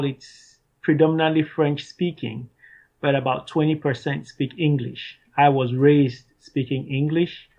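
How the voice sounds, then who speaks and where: Startup founder from Cameroon, thirties, slightly raised pitch, earnest and measured, muffled lo-fi line. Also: male voice. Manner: measured, earnest, some restarts. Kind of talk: interview answers.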